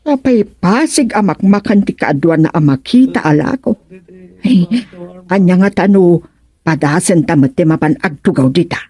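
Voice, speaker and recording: A woman's voice: lowish voice, expressive, moderate pace, warm tone, husky. A woman playing a curious, coaxing wife in a radio comedy drama.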